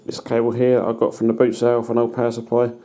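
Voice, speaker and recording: A British man giving a casual hands-on demonstration as a hobbyist, in a halting, matter-of-fact way. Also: medium pitch, monotone. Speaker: hobbyist presenter. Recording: noticeable background noise.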